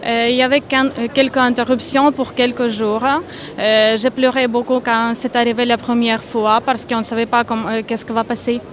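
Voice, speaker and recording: A woman's voice: fairly high pitch, flat delivery, moderate pace. A woman from Ukraine with a Ukrainian accent, answering earnestly and searching for words in French. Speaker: interviewee. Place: outdoor fair stand.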